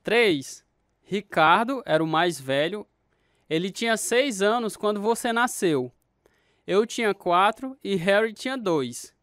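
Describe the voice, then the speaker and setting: An actor with a Brazilian accent playing a grown orphaned brother sadly recounting a hard childhood in a radio drama. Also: male voice, high voice, animated, unhurried, fairly bright tone, no room sound, clean studio sound. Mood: earnest. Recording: guitar music behind the dialogue.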